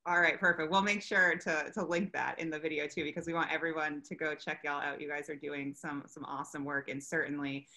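A woman's voice: low-pitched, fairly even intonation, sharp tone. A young woman hosting a casual video-call interview from outdoors in a park, upbeat and enthusiastic, often restarting her sentences. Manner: bubbly, enthusiastic, quick restarts. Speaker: interview host. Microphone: video call webcam.

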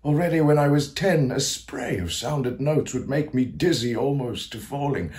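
An actor playing an aged, grandiose Italian composer near death, confiding in a theatrical stage monologue with a creaky voice and self-mocking manner. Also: male voice, deep voice, measured pace. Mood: fervent longing. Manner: theatrical, declamatory, swinging to hushed asides.

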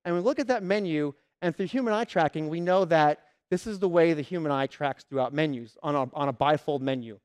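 A man's voice: fairly high pitch, fairly quick, hardly any pauses, clear, resonant tone. A man in his thirties giving a conference keynote on a large stage through a headset mic, telling stories and acting out customers and salespeople. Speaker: keynote speaker.

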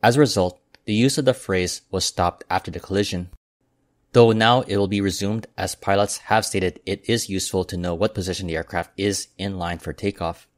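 A man with a deep, low voice reads aviation news in a steady, measured way. The recording is dry and close, with no room sound around him. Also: no room sound. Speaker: aviation news channel host. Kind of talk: scripted news narration. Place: voice-over, not recorded in the pictured airport.

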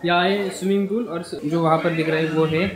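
High-voiced man giving an upbeat tour. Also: fairly even intonation, a little fast, a crowd in the background, poor audio. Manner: showing around, upbeat. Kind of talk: casual vlog tour.

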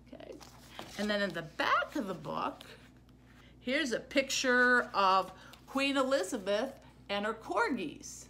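A middle-aged American woman giving an informal book talk to camera as a librarian, halting and full of ums. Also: medium pitch, a bit bright. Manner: halting, hesitant, with very frequent ums. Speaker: librarian and book-stream host. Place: small plain indoor room. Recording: noticeable background noise, room ambience.

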